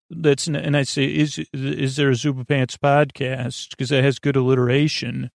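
A man with a creaky, gravelly voice at a middling pitch hosts a bedtime podcast, recorded close and dry. He meanders and stammers, doubling back on his own sentences and wandering into tangents. His delivery is reassuring and puts no pressure on the listener. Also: no echo. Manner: meandering, halting, stammering with tangents and self-corrections. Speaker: podcast host. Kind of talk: rambling scripted-loose bedtime podcast monologue.

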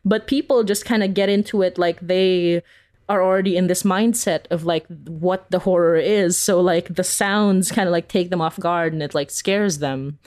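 A woman's voice: fairly low pitch, moderate pace. A woman from the Philippines chatting casually as an interview guest, telling stories in a giggly way peppered with "like". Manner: animated storyteller, uses "like" constantly, giggly. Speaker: podcast creator, interview guest.

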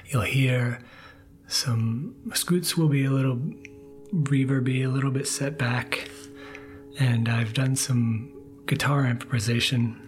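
Soft, half-whispering man over music. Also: medium pitch, husky. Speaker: podcast episode producer introducing the show. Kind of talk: soft, soothing scripted introduction to a sleep podcast. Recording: music bed behind the voice.

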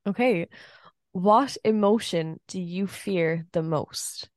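A woman co-hosting a podcast in a chatty, giggly way, her voice a little deeper than usual after a night out. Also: takes it slowly, no room sound, clean studio sound. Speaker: podcast co-host. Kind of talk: casual chat. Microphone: podcast microphone.

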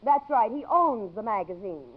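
High-pitched, wisecracking secretary. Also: female voice, animated, normal speed, phone-quality sound. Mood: wry, businesslike. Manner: breezy, wry, informal. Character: wisecracking, breezy female secretary and loyal right hand. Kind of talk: 1950s radio comedy-drama acting. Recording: thin, band-limited vintage radio recording.

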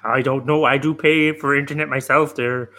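A man's voice: mid-range voice, steady tone, moderate pace, pushed and bright, natural voice. A man playing an exasperated, cantankerous older police captain in an improvised comedy radio play, scolding and blustering. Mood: folksy, rambling. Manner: scolding, blustering, mock-outraged. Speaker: podcast panellist.

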